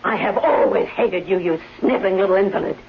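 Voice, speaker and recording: A woman's voice: mid-range voice, fairly quick, gravelly, a little hiss or hum, natural voice. An actress playing a spiteful, scheming woman full of bitter hatred, in a 1970s radio drama style with emphatic, venomous delivery. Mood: venomous gloating. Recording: dull, humming 1970s radio recording.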